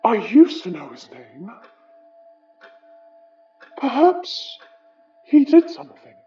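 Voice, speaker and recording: An actor playing the summoned remnant of an English gentleman, wry, wistful and formal, with a British accent, in an audio horror drama. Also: high-pitched, big pitch swings, far from the mic, dull audio, faint music behind, heavily acted. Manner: measured, formal, musing, ornate phrasing.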